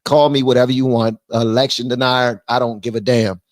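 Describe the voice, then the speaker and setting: A man's voice: mid-range voice, brisk, no room sound, studio quality. A man hosting a livestream of political commentary, talking bluntly with slang and slipping into mock voices. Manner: animated, drops into mock voices. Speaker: livestream host.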